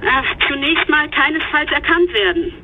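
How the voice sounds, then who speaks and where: An actress playing a matter-of-fact investigator in a radio play, heard through a telephone filter. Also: female voice, sits high, normal speed. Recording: tinny phone line with noise.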